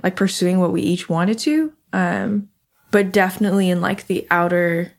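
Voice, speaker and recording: A woman's voice: on the low side, moderate pace. A young woman from the Seattle area, talking reflectively as an interview guest, with frequent ums. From Seattle area, United States. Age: young adult. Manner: reflective, lots of ums and soft laughs. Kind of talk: casual conversation.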